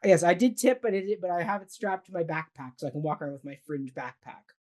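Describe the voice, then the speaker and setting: A woman hosting a casual theatre talk show, upbeat and quick to affirm, steering the conversation. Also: fairly low pitch, expressive, a little mellow. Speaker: host.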